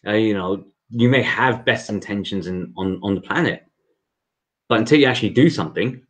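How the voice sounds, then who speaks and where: A man's voice: lowish voice, a little fast. A man hosting a casual livestream interview, talking loosely and repeating words as he thinks. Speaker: host.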